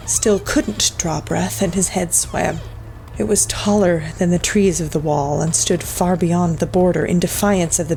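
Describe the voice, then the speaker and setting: A woman reading a dark folk-horror story as scripted narration, in a solemn, measured storytelling manner. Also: fairly low pitch, vocal fry, a little hiss or hum, low-quality recording.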